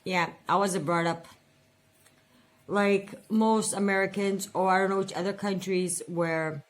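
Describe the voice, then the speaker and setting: A woman's voice: medium pitch, fairly even intonation, a little slow. A woman in her forties venting and rambling in a casual personal budget vlog, repeating words for emphasis. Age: 40s. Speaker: budget vlogger.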